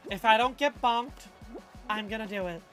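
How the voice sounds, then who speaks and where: A woman's voice: fairly high pitch, normal speed, fairly bright tone. A woman livestreamer chatting casually with her viewers, reading chat aloud in a loose, rambling, slangy way. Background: faint background music.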